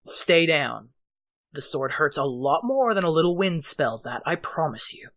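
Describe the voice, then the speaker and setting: A man acting a wry, quick-witted young tactician in an audio drama, with dry, teasing delivery. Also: fairly high pitch, expressive, fairly bright tone. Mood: menacing. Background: music.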